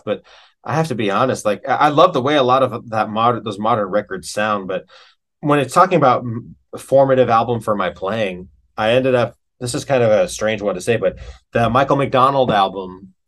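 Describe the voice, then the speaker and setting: A man's voice: medium pitch, fairly bright tone. A man talking casually as an interview guest, in an enthusiastic, slangy, rambling way about his own craft.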